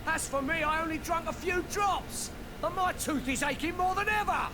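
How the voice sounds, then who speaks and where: A man's voice: very high-pitched, normal speed, background noise. A man playing an agitated, complaining commoner from a street mob in a video game. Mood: anxious.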